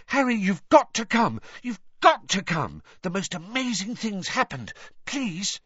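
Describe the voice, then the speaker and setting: A man puts on an eager teenage schoolgirl from a storybook. Her voice is raised high, urgent and breathless, with a bossy, impatient insistence. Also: wildly swooping pitch, a little slow, a bit bright, slightly rough, no room sound, highs cut off, full character voice. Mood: excited.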